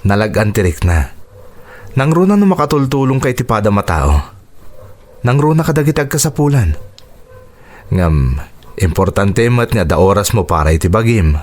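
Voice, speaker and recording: A man with an Ilocano accent playing a kindly, upbeat doctor and devoted father in a radio drama, with a warm, encouraging manner. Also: lowish voice, big pitch swings, moderate pace, pauses often. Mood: fatherly, affectionate.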